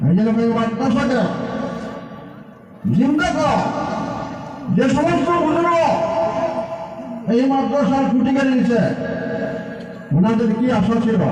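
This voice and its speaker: An older man giving an emphatic religious exhortation to a gathering, repeating his phrases as he urges listeners. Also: very high-pitched. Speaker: Islamic scholar addressing a madrasa gathering. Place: public religious gathering over PA system. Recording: heavily echoing loudspeaker, distant from mic.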